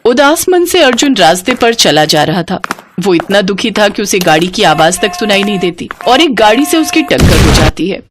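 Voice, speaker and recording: A woman's voice: mid-range voice, sing-song, moderate pace. Woman storyteller, noisy recording. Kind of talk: scripted moral-story narration.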